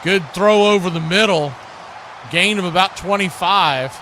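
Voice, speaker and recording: Male play-by-play sports announcer, slightly high voice, folksy and excitable, noisy live recording. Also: unhurried, a crowd in the background. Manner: folksy, excitable on big plays. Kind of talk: live football play-by-play commentary with asides to viewers. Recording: clear background noise.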